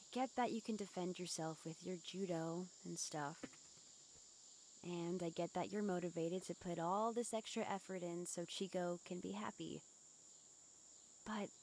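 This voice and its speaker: A woman's voice: lowish voice, unhurried, long pauses, a little mellow, a little hiss or hum. A woman voicing a stern but caring teenage schoolgirl, scolding yet concerned, in a fan dub of a visual novel game. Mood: worried, softer.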